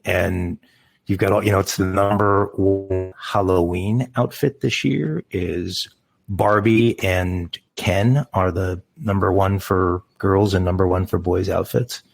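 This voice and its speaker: A man with a fairly low voice co-hosts a crime news podcast. He speaks in a measured way and adds dry, wry asides to the stories. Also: steady tone, gravelly. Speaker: podcast co-host. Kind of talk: casual crime news chat.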